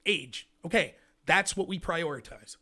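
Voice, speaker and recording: A man hosting a live political show, ranting in a loud, indignant voice. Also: a little high, measured pace. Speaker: livestream show host. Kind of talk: heated political commentary.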